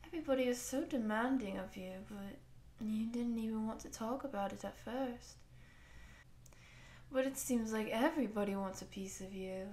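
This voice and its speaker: A woman's voice: a little high, normal speed, a little mellow, close to an everyday voice. A woman voicing an obsessive, sadistic giant woman doting on a tiny captive, teasing and possessive in an intimate ASMR audio roleplay, often dropping toward a whisper. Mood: possessive, tender.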